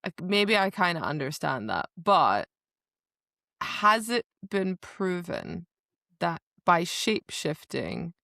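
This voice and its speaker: A woman with a slightly low voice chats casually as a podcast co-host. She retells book scenes conversationally and slips into little quoted exchanges. The sound is close and dry. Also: big pitch swings, takes it slowly, creaky, pushed and bright, no echo. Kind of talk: casual book discussion chat.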